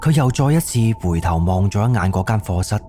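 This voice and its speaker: Male narrator, Cantonese accent, lowish mellow voice, suspense ghost story narration, soft music behind. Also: lively intonation, fairly quick. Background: background music.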